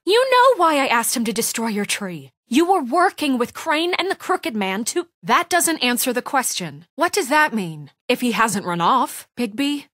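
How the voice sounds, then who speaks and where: A woman's voice: high-pitched, a bit bright, no echo, studio quality. An actress playing an authoritative, exasperated woman leader in a video game drama, speaking firmly and earnestly. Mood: frustrated, demanding. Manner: firm, earnest, insistent, often exasperated. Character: authoritative, exasperated woman leader of a troubled fairy-tale community. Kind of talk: dramatic video game dialogue, naturalistic acting.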